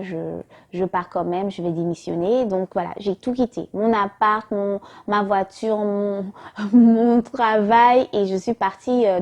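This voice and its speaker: A woman's voice: mid-range voice, warm tone. A young woman from Martinique, telling a personal faith testimony in a casual, hesitant way with many fillers. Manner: hesitant, many fillers, acts out her own past thoughts. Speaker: vlogger giving a testimony.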